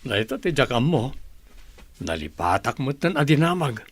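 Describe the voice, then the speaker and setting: Man playing lively, swooping cheerful dad. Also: mid-range voice, moderate pace, noisy background. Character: cheerful, doting father of daughters. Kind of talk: Filipino audio drama acting.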